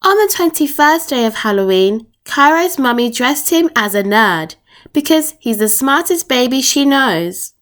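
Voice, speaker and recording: A woman reading a children's picture book aloud in an expressive storytelling manner. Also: sits high, a little slow. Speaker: storytime reader.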